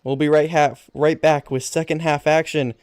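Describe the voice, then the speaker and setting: A man's voice: fairly high pitch, level tone, normal speed, clean tone, no room sound, studio quality. A man giving sports commentary as a match announcer.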